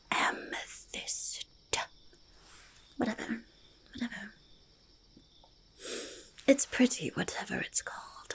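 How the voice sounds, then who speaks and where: Quirky, stubborn goblin treasure-lover, played by a woman, for ASMR roleplay; very husky whisper, unhurried and halting. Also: on the low side, full character voice. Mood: stubborn bickering. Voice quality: breathy, husky, creaky whisper. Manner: halting, childlike third-person speech, easily argumentative. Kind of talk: soft-spoken ASMR roleplay, mostly whispered.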